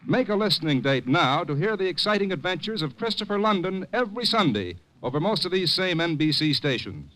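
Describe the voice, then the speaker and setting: A man's voice: high-pitched, sing-song, a little fast, hardly any pauses, sharp tone, rough recording. A man announcing in 1950s radio, polished and promotional. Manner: polished, promotional, rising and falling. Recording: old radio broadcast.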